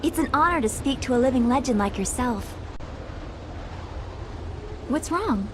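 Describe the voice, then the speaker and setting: An actress playing a sweet, perky young technology specialist in a video game, polite and playful. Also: female voice, high-pitched, expressive, measured pace, background noise. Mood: playful, flattered. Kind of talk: dramatic video game voice acting.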